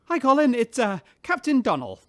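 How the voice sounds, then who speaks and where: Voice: medium pitch, huge pitch swings, normal speed, a bit bright. An actor playing a flustered, nervous ship captain who hesitates and stammers.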